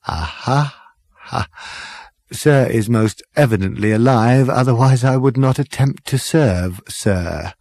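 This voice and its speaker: A man's voice: mid-range voice, takes it slowly, vocal fry, darkish tone, no echo, voice pushed up. A man voicing an obsequious, polite waiter in a comic audiobook, formal and deferential with patient condescension. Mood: patiently condescending.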